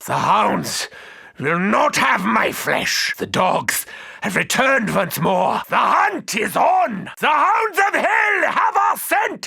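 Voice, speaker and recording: An actor playing an eccentric, haughty German mad scientist doctor in a video game, theatrical and grandiose, with a German accent. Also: male voice, high-pitched, creaky, sharp tone, breathy edge, dry recording, clean studio sound, heavily acted. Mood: frantic, shrill. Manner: theatrical, grandiose, self-important. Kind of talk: video game character quotes.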